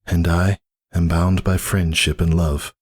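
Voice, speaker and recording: A man's voice: low-pitched, level tone, a little slow, husky, voice pushed up. A man voicing a weary but defiant pegasus mother, speaking earnestly in a dramatic story reading. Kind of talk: dramatic fan fiction reading.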